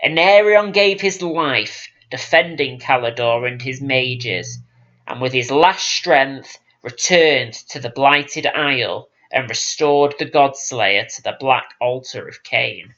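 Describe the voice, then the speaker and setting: A man reading a fantasy audiobook as scripted dramatic narration, in a solemn, measured storytelling manner. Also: medium pitch, pushed and bright, muffled recording. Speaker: audiobook reader.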